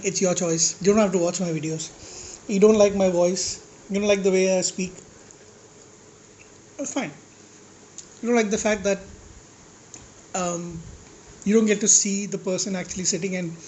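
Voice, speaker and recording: A man vlogging with a slightly high voice, talking casually and bluntly. He rambles with restarts and asides, and curses when exasperated. A faint hiss sits under his voice. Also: a little mellow, rough recording. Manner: blunt, exasperated, swearing at critics. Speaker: food vlogger. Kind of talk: casual rant to camera while eating.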